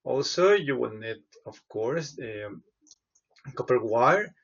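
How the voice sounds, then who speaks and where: Male tutorial presenter, husky and unhurried, halting step-by-step instruction. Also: medium pitch, animated, a bit bright, highs cut off. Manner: halting, hesitant delivery with frequent pauses.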